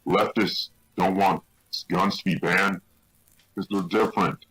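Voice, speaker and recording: A man rambling casually and swearing heavily through a low voice changer, recorded on a loud, distorted microphone. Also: level tone, a little slow, slightly rough. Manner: heavy swearing. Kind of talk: rambling casual personal monologue.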